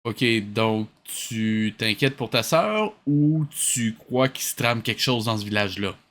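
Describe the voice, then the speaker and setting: A man's voice: on the low side, expressive, a little slow, a bit bright. A man with a Québécois accent comments casually, as if reacting to something on screen. He is sarcastic and openly exasperated, picking things apart with dry disbelief. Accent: Québécois French. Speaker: host of a series-watching reaction show.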